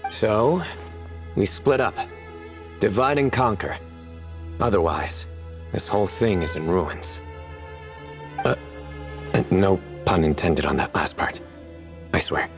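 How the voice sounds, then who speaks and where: An actor playing a grave male warrior in a video game, with a deadpan, deliberate delivery. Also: low voice, faint music behind, exaggerated voice. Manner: deadpan, deliberate, stiffly formal.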